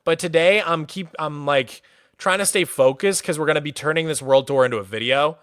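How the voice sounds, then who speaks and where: A fairly high-voiced man from Massachusetts talks his way through a game-world tour on a livestream, loose and chatty, with "like" dropped all through his sentences. The sound is dry and close, right up on a desk mic. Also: brisk, smooth, no echo. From Massachusetts, USA. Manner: relaxed and chatty, many "like" fillers. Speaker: streamer. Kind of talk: casual livestream commentary, touring a game world.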